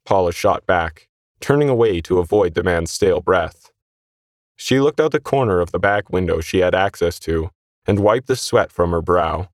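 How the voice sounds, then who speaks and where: A man's voice: low voice, moderate pace, no echo, studio quality. A man narrating a scripted short story as its reader.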